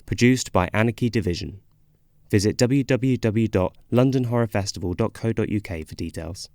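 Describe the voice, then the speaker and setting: A man's voice: lowish voice, normal speed, a little mellow. A man reading scripted, dramatic promo narration for a stage show. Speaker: promo voice-over.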